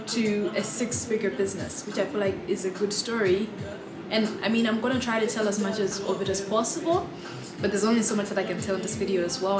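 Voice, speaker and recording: A young woman talks casually and cheerfully to her camera at home, full of "like" and "um". Her voice sits in a middle register. Clear music plays behind her. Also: medium pitch, roomy sound. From Malawi. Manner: chatty, upbeat, lots of "like" and "um". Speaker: vlogger, content creator. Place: apartment with a river view.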